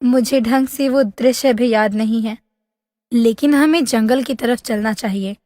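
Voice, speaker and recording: A woman playing a wistful, lovesick young princess in a fairy tale, with a plaintive, drawn-out, dramatic delivery. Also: a little high, warm tone, studio quality, natural voice. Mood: wistful, troubled. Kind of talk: animated fairy-tale voice acting. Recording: long hall-like reverb.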